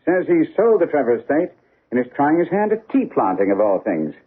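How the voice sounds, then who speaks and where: A man playing a shrewd, composed gentleman detective in a radio mystery drama, speaking in measured, assured phrases. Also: fairly high pitch, big pitch swings, slightly rough. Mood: brisk, assured. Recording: muffled old radio broadcast, faint phone-like band.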